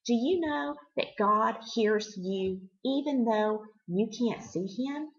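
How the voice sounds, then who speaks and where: A middle-aged woman hosting a devotional for children, teaching in simple, expressive words and putting questions to the listener. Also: on the high side, slow, muffled recording. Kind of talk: devotional talk addressed to children, with storytelling.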